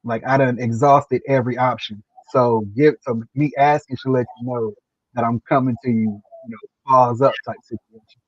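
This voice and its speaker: Man, host, casual interview, halting and repetitive, mid-pitched voice, lo-fi stream sound. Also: steady tone, dry recording. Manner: halting, repeats himself, earnest. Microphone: video call. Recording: dull lo-fi stream audio.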